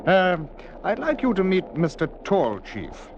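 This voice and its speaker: An actor playing a suave spy villain with polite, guarded false geniality in a 1950s radio thriller. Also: male voice, high voice, wildly swooping pitch, a little slow, full character voice. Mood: coolly polite. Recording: muffled old radio recording, clear background noise.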